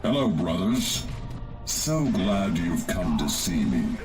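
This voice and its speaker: Slow, deep corrupted traitor warrior. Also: male voice, big pitch swings, heavily acted. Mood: mocking. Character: sardonic corrupted space marine traitor, mocking and weary. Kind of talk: video game voice acting. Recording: music under the voice.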